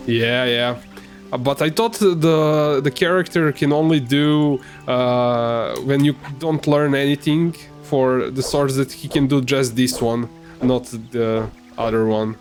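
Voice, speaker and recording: A man whose first language is Serbian, giving casual, halting commentary while playing a game, with many fillers and restarts. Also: mid-range voice, soft background music. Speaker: gamer.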